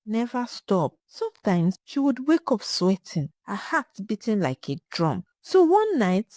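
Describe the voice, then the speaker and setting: A woman narrating a scripted folktale in a dramatic, suspenseful way, with short measured phrases. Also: mid-range voice, wildly swooping pitch, warm tone, no room sound. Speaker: storyteller.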